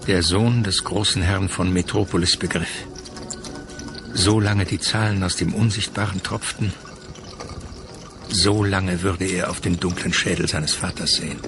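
A man's voice: low voice, steady tone, a little slow. A man reading literary prose as the narrator of a dramatic audio piece. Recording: music under the voice. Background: music.

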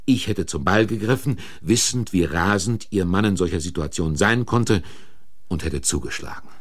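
A man's voice: sits low, expressive, normal speed. An actor playing an agitated man accused of murder in a German radio crime drama, stammering and indignant as he insists on his innocence. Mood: bitter narrating. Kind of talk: German radio crime drama acting and first-person narration. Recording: vintage radio play recording.

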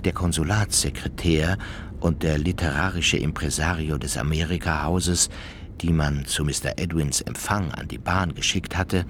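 A man with a deep voice reads literary narration in a radio play. Faint ambient noise sits behind the voice. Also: moderate pace, fairly bright tone.

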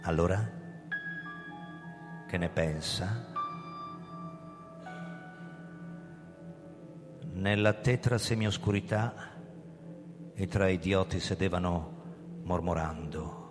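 In a long hall reverb over music, an ageing, proud founder of a crime-prevention agency. His low male voice tells the story in deliberate, dramatic phrases, guarded and quick to defend his position. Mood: sombre. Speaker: actor narrating and playing the lead. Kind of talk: theatrical radio drama with first-person narration.

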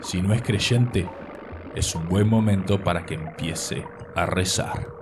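A man's voice: deep voice, slow pace, distant mic. A man reading a scripted, formal and literary radio narration. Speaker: presenter of a radio arts segment. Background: music.

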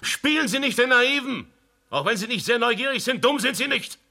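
An actor playing a stern, authoritative official in a radio play who questions curtly and warns sharply. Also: male voice, very high voice, lively intonation, moderate pace, pushed and bright, heavily acted. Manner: curt, warning, sometimes sharply raised. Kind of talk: radio drama acting.

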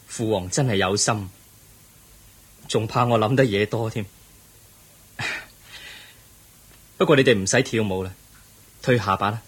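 Husky, pensive young prince. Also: male voice, on the low side, slow pace, pauses often. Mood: politely dismissive. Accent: Hong Kong Cantonese. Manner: earnest, reflective, formal. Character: pensive young crown prince, weary of palace pleasures. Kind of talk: radio drama acting.

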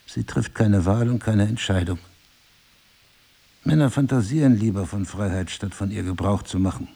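An actor playing a remorseful middle-aged husband in an audio drama, speaking in a husky, gravelly, deliberate and intimate way. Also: male voice, fairly low pitch, mellow. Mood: earnest confession. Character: remorseful, pleading husband, brooding and passionate.

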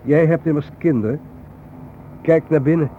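An actor playing a solemn, reflective journalist in a radio play, speaking in grave, deliberate, philosophical phrases. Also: male voice, fairly high pitch, lightly acted. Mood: earnest. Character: solemn, reflective male journalist haunted by war dead. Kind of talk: radio drama acting. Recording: thin phone-like old radio recording, faint noise.

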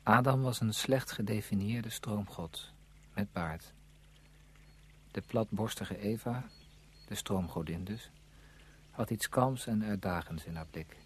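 An actor playing a reflective, wry male writer in a radio drama, husky-voiced, with measured literary phrasing. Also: fairly low pitch, a bit bright, a little hiss or hum. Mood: wistful, reflective.

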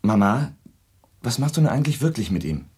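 An actor playing a blunt, sardonic young son in a radio play, flippant and direct. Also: male voice, medium pitch, sing-song, a little fast, darkish tone, breathy edge. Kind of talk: German radio play acting.

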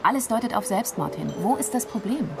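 Fast, dismissive female prosecutor. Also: fairly high pitch, expressive, creaky, music in the background. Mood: brisk, dismissive. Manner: matter-of-fact, challenging. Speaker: actor in a radio drama.

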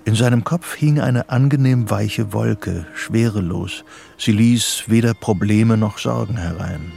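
An actor as the narrator of a psychological thriller, reading scripted radio drama narration. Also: male voice, fairly low pitch, a little slow, vocal fry, warm tone, faint music behind. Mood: measured, tense.

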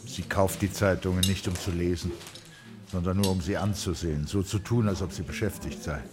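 Deep-voiced, sombre male narrator. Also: fairly even intonation, a little fast, a crowd in the background. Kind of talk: literary scripted radio drama narration.

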